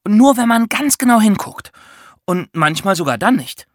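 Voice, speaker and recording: A woman's voice: medium pitch, animated, normal speed, dry recording, clean studio sound. A woman co-hosting an educational podcast for children, explaining step by step in a conversational way.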